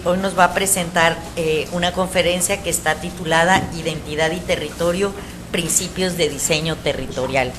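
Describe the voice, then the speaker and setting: A woman's voice: on the low side, noisy background. A woman giving a formal introduction of a guest speaker, reading his credentials with a few fillers. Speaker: event presenter. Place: lecture hall.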